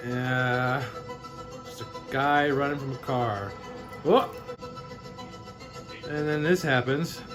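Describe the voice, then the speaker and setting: A man's voice: medium pitch, takes it slowly, pauses often, over music. An American man hosting a vlog with casual, chatty commentary in an informal, jokey, incredulous manner. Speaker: vlog host.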